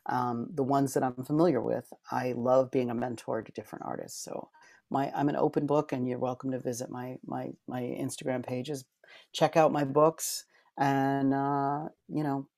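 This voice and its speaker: A man's voice: mid-range voice, flat delivery, normal speed, gravelly, darkish tone, no room sound, clean studio sound. A middle-aged man, a podcast guest and author, talking earnestly and heartfelt, with many fillers and restarts. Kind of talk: reflective interview talk.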